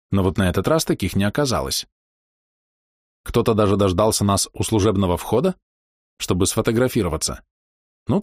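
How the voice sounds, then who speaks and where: A man's voice: fairly low pitch, darkish tone, no echo, studio quality. A man narrating a first-person diary as a touring musician, in a wry, slangy way. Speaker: touring musician telling his tour diary.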